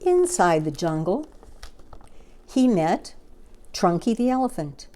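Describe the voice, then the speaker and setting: A woman's voice: medium pitch, big pitch swings, slow pace, warm tone. A woman reading a children's storybook aloud as narrator.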